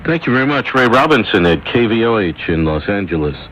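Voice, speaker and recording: A low-pitched man hosts a radio programme, reading scripted links and narration. His voice arrives through shortwave reception with clearly audible noise. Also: big pitch swings, a little fast, a bit bright, highs cut off.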